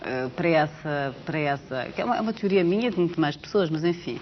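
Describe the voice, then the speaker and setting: A woman's voice: sits low, fast talker. A woman from Portugal with a European Portuguese accent, talking animatedly as an interview guest, with frequent restarts. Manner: animated, storytelling with many restarts. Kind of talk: casual interview chat. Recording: dull lo-fi TV studio audio with faint hiss.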